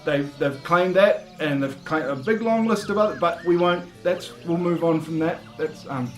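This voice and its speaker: A New Zealand man with a somewhat high voice delivers a halting, deadpan comedy bit. His talk is full of "um"s and restarts, with faint music in the background. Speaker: comedic video host.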